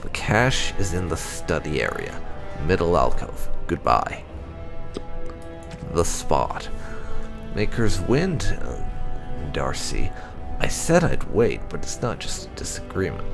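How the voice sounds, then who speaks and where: Male game channel host, low gravelly voice, theatrical lore reading with music underneath. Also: sing-song, slow pace, long pauses.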